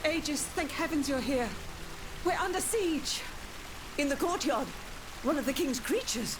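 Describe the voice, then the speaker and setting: A woman's voice: high-pitched, lively intonation, unhurried. A woman playing a frightened, urgent noblewoman in a video game. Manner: urgent, anxious. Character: frightened noblewoman pleading for protection. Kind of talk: video game cutscene acting. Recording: hissy, rain-like background noise.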